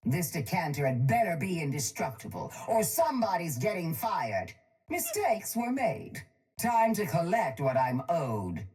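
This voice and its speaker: An actress playing a cold, posh, ruthless crime-boss tycoon in a video game, speaking in a haughty, deliberate, menacing way. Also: female voice, on the low side, big pitch swings, a little mellow, soft background music. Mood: dry, imperious. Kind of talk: video game character voice lines.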